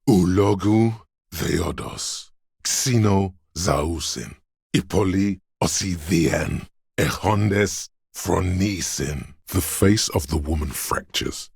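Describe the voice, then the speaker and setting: The inner voice of primal fear and rage in a dramatic video game. It is extremely deep and very husky, gravelly at the edges. It narrates with slow, ominous, menacing deliberation. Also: male voice, no echo, clean studio sound, exaggerated voice.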